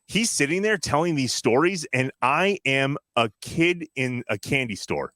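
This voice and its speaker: Animated, bright-voiced American man co-hosting. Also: medium pitch, a little slow, dry recording. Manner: enthusiastic. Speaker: podcast co-host. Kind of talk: casual chat.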